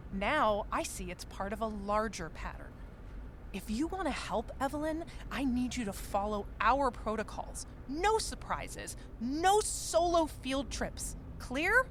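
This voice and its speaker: An actress playing a stern, by-the-book office boss, firm and authoritative in a scripted drama. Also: female voice, high voice, slight background noise. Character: stern, protocol-minded woman boss of an office. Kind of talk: scripted audio drama dialogue.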